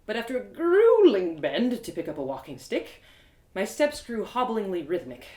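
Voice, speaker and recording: A woman narrates a story with theatrical expression, hosting a live reading. Her voice sits at an average female pitch. The room around her is noticeably echoey. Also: wildly swooping pitch, normal speed, dark tone. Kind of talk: animated first-person storybook narration.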